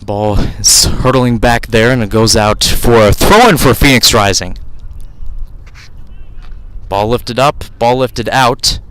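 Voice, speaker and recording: A man's voice: mid-range voice, slow, long pauses, a bit bright, no echo, rough recording. A man doing live radio play-by-play of a soccer match outdoors, describing the action in short, clipped phrases. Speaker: play-by-play sports commentator.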